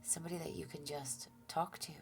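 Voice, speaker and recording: A low-pitched woman with a slightly gravelly texture gives a calm, reassuring tarot reading. She speaks softly, often falling almost to a whisper, and sounds a little stuffed up. Faint music plays underneath. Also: fairly quick. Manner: soft-spoken, often drops to a near-whisper; gentle and reassuring, with fillers and restarts. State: stuffy nose. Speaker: tarot reader. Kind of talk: relaxed tarot reading to viewers.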